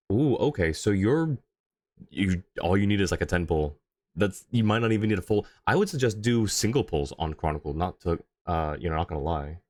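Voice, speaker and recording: A man's voice: low-pitched, no room sound, clean studio sound. A man hosting a casual livestream, talking in an easygoing, conversational way as he answers chat. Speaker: livestream host.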